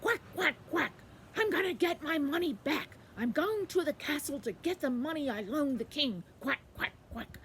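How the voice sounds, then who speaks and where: Woman doing a loud, quacking little duck. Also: high-pitched, animated, normal speed, a little hiss or hum, pitched above the performer's own voice. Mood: loud, insistent. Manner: punctuates speech with repeated quacks. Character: small, smart, loud, indignant male duck. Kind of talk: children's folktale storytelling.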